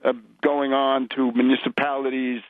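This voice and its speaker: A man hosting a political radio interview, speaking in a measured, explanatory way with deliberate phrasing. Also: medium pitch, level tone, no room sound. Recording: dull lo-fi radio audio.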